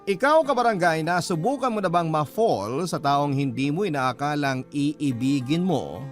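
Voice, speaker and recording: A man narrating a listener's letter as a radio drama host, in earnest, deliberate scripted storytelling. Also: fairly high pitch, animated. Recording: music bed under the voice. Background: prominent music.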